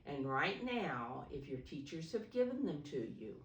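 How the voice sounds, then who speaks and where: A woman speaking as a preschool teacher at storytime, explaining things simply and deliberately to young children. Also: deep voice, very animated, highs cut off. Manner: simple, deliberate explaining, refers to herself in third person. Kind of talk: read-aloud storytime for preschoolers. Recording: roomy echo, distant microphone.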